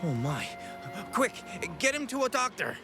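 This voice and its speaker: A man's voice: very high-pitched, sing-song, moderate pace, heavily acted. An actor playing a concerned, chatty male townsperson in a video game. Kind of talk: scripted game dialogue. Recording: game music behind voice.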